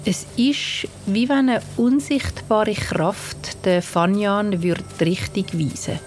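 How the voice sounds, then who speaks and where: A woman with a Swiss German accent narrating a scripted bedtime story for children in a gentle, soothing manner. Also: mid-range voice, expressive, slight background noise. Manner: slow, gentle, soothing delivery. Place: studio. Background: soft music and sound design.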